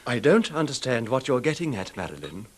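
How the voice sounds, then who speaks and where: An actor playing a courteous, besotted middle-aged rich husband in a 1970s radio drama, speaking haltingly with reflective pauses. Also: male voice, medium pitch, very animated, breathy edge. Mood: hurt, pleading.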